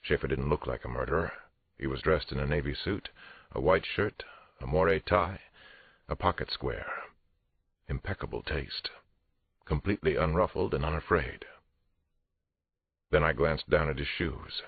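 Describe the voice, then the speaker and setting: A man's voice: normal speed, long pauses, full character voice. A man reading a first-person audiobook thriller as a police detective, tense, restrained and dramatic. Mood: grim. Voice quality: very deep, husky. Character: male police detective narrator, angry but controlled. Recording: roomy, muffled phone-like audio.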